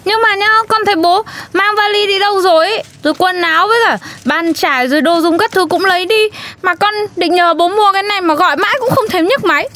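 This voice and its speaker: A woman's voice: very high-pitched, fast talker, few pauses, fairly bright tone. A woman playing an anxious young daughter who whines and frets. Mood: worried. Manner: whiny, childlike. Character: anxious young daughter, whiny and easily upset.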